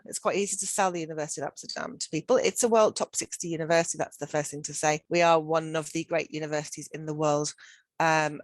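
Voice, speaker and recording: Low-voiced woman pitching a study program. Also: dry recording. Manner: upbeat and persuasive, with frequent restarts. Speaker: study-abroad centre director. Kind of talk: promotional info-session presentation.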